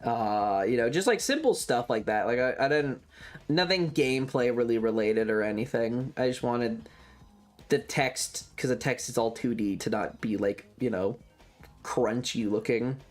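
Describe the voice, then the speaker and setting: A streamer with a mid-range voice talks in a loose, chatty livestream style. The delivery is opinionated and rambling, circling back to repeat points and swearing casually. Music plays clearly in the background. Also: mid-range voice, moderate pace. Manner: casually profane. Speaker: variety streamer.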